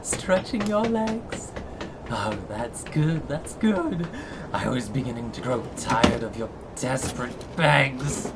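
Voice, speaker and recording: An obsessive, sadistic lover acted by a man speaks in an intimate ASMR roleplay, with clear noise behind the voice. The delivery is extremely slow and mid-pitched, tender yet threatening. Breathy chuckles colour the taunting manner. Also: animated. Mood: mocking, controlling. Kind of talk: scripted ASMR roleplay audio drama.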